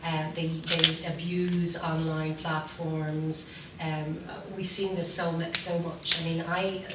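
A woman's voice: sits low, little pitch movement, takes it slowly. A woman in her forties with an Irish accent, earnestly speaking on a panel about youth work. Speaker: panellist, youth service worker. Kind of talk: panel discussion. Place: meeting room, seated at a panel table. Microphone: table mic on a stand, recorded from a distance. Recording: roomy, distant, with background noise.

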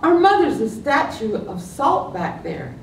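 Older female poet, playful slow delivery, animated pitch, distant roomy recording. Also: creaky. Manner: lively, playful storytelling lilt. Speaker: poet at open mic. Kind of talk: humorous poetry reading. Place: library meeting room, at a podium. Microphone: stand mic, far camera. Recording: echoey room, distant mic, faint rumble.

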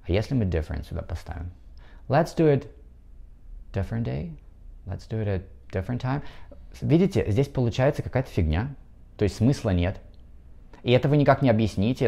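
Low, mellow Russian man teaching. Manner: explains slowly, switches between languages, models pronunciation. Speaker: English teacher. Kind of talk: video lesson to camera. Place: bright indoor room. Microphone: lapel mic.

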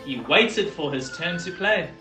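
Someone reading a children's story aloud in an expressive, deliberate way, leaning into the sound words. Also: mid-range voice, music in the background. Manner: animated, deliberate, emphatic on dialogue and sound words. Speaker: volunteer storybook reader. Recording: roomy echo.

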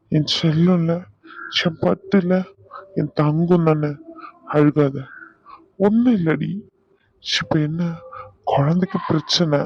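A man's voice: on the high side, creaky, husky, no room sound, dull audio, heavily acted. A man playing an anguished, tender husband in a domestic drama, pleading and soothing his wife with endearments. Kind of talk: emotional domestic audio drama.

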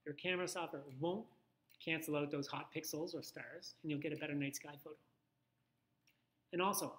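A man gives an informal instructional talk as a photographer. His voice sits a little higher than most men's. He talks conversationally, thinking aloud and adding asides as he explains. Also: fairly bright tone. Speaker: photographer giving a presentation.

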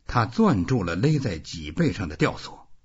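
A man's voice: fairly low pitch, very animated, moderate pace, slightly rough, lo-fi sound. A man reading scripted literary narration as an audiobook narrator.

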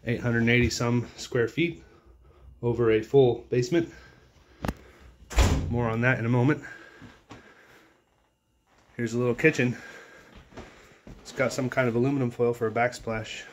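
Slow offhand man on a phone walkthrough. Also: medium pitch, fairly even intonation, a little hiss or hum. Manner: slow, offhand, thinking aloud. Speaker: tour guide. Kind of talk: casual walkthrough narration. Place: small house, indoors, walking room to room. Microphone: phone held while filming.

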